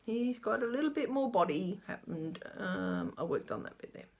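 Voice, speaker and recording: A woman's voice: mid-range voice, huge pitch swings, moderate pace. A middle-aged woman chatting casually through her cross-stitch update, rambling and talking to herself as she checks her notes. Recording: faint background noise.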